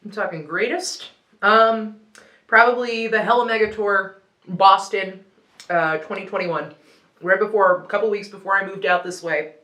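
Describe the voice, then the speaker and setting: A woman's voice: mid-range voice, steady tone, normal speed, sharp tone, echoey room, rough recording. A young woman whose first language is Ukrainian, a radio news presenter chatting and laughing her way through a hot wing challenge. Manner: giggly, rambling, reacting to spicy food. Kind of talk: casual chat during a hot wing challenge.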